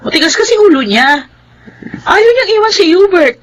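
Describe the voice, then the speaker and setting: A woman's voice: very high voice, very animated, moderate pace, a little hiss or hum, low-quality recording. An actress playing a blunt, exasperated young female friend in a Filipino radio drama.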